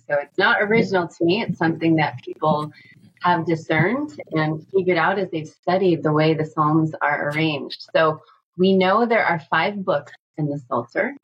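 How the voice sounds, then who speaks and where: A woman professor calmly and methodically explaining a teaching on a podcast. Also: deep voice, husky, muffled recording. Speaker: Hebrew Bible professor. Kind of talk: explanatory academic talk.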